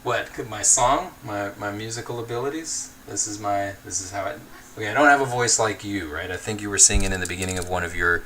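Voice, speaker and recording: A young man hosting a casual livestream, chatting and reading comments at an easy pace. His voice sits a little low but sounds very bright and forward. Faint noise sits behind him. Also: a little fast. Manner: easygoing, chatty, laughs often, thinks aloud with fillers. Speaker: livestream host.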